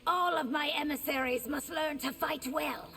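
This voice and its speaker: A woman's voice: sits high, normal speed, vocal fry, bright, forceful tone, full character voice. A woman playing a regal, composed empress in video game dialogue, distant and reverberant with noise around her. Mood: gracious, stately.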